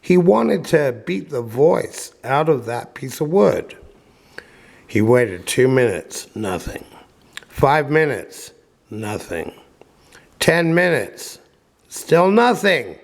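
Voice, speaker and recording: A man reading a children's story aloud as narrator, with deliberate dramatic pauses. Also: medium pitch, big pitch swings, gravelly. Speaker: audiobook reader.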